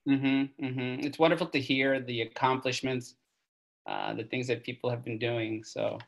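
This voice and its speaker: A woman's voice: very deep voice, steady tone, moderate pace, gravelly. A woman on a video call, chatting casually and agreeing with hesitant restarts.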